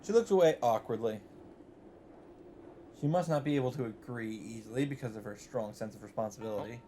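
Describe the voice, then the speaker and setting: A man hosting a let's play, chatting casually and reading game narration aloud, thinking out loud as he goes. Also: medium pitch, fairly quick, slight background noise.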